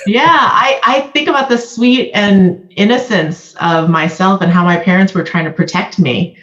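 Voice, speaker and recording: A woman's voice: mid-range voice, normal speed, fairly bright tone. Woman on a dull, echoey line. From Vietnam. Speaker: guest. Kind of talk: candid conversational discussion.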